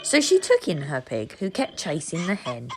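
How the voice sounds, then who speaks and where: A woman storyteller reading a rhyming children's picture book aloud with expressive narration. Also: low-pitched, normal speed, a little hiss or hum.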